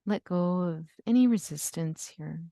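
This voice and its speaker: A woman leading a guided yoga nidra relaxation, giving gentle, soothing instructions with long pauses. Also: lowish voice, gravelly, no echo, studio quality. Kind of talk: guided meditation.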